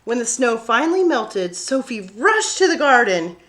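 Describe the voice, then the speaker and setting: A woman reading a picture book aloud to children, narrating expressively with deliberate, dramatic phrasing. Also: on the high side, big pitch swings, fairly bright tone, slight background noise. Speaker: children's story-time reader.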